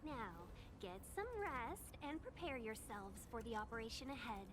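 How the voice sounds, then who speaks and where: A woman's voice: on the high side, lively intonation, normal speed, background noise, close to an everyday voice. A woman voicing a polite, precise, confident female official in a video game. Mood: poised, explanatory. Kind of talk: scripted video game acting.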